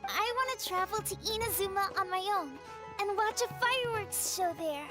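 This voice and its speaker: A woman's voice: extremely high pitch, expressive, moderate pace. A woman playing a sweet, hopeful young girl in a video game, earnest and youthful. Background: prominent background music.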